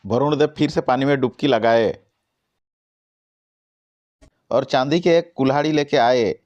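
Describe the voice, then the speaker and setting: A man's voice: mid-range voice, measured pace, darkish tone, dull audio. Man narrating a children's cartoon story.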